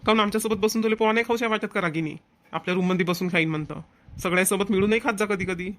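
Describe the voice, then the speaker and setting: A man's voice: high voice, rapid-fire, a bit bright. A man voicing a dutiful, fussing young married son in a family cartoon, with a Vidarbha Marathi accent. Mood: mildly nagging. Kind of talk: amateur family cartoon voice acting.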